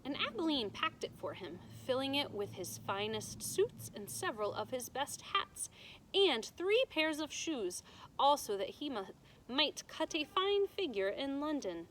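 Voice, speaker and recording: A woman reads a story to young students like a teacher. Her voice is fairly high and bright, and her delivery is emphatic and explanatory. A little noise is audible behind her. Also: big pitch swings, a little slow, poor audio. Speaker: teacher reading aloud to students. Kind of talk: storybook read-aloud with simple explanations for children. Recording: noticeable background noise.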